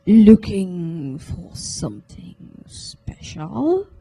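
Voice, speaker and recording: A creepy, hunched old shopkeeper from a story, acted out by a woman reader. The words drag out very slowly in a raspy, metallic wheeze. His manner is sly and insinuating. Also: lowish voice, very animated, mellow, exaggerated voice. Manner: slow, drawn-out, insinuating. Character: haunched, creepy old male antique shopkeeper.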